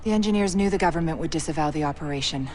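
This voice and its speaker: An actress playing a brisk, businesslike intelligence operations officer in a video game, with clipped delivery. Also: female voice, low-pitched, darkish tone, close to an everyday voice. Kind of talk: cinematic video game acting.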